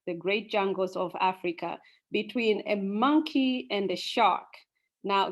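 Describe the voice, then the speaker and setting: A young woman from Tanzania speaking to an audience and then telling a folk story, unhurried, with many ums and uhs. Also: mid-range voice. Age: young adult. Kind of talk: storytelling talk.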